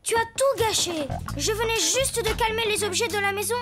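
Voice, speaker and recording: Cartoon little boy superhero, very high childlike voice, bossy yet good-hearted, over loud music. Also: moderate pace. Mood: indignant. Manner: childlike, exclamatory. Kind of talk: French children's cartoon voice acting.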